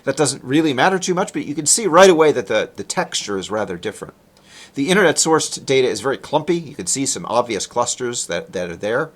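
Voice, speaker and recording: A man's voice: mid-range voice, big pitch swings, fairly quick. A man giving a technical talk as a presenting researcher, explaining step by step in a conversational way and posing questions as he goes. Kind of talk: technical lecture with explanatory narration of slides.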